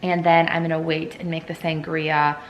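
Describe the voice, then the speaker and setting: A woman's voice: low voice, little pitch movement, moderate pace, lo-fi sound. Chatty woman in an echoey kitchen. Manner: chatty, many "like" fillers. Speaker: homemaking vlogger. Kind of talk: casual cooking vlog chat. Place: home kitchen. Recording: echoey kitchen, faint room noise.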